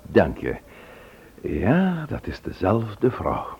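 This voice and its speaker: An actor playing a thoughtful, probing police inspector in a radio drama, polite and deliberate as he questions. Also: on the low side, wildly swooping pitch, vocal fry, slightly rough, exaggerated voice. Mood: musing, probing. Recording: slightly muffled old radio recording.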